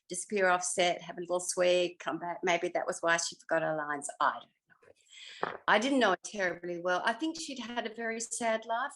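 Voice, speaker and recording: An older woman with an Australian accent reminiscing in a casual interview, hesitant as she searches her memory. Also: mid-range voice. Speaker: interviewed former actor.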